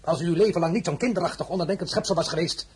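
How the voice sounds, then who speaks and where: An actor playing a bitter, war-weary emperor in a radio drama, commanding, sarcastic and formal. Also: male voice, a little high, rapid-fire, husky. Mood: scornful, angry. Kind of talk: Dutch-language radio drama acting.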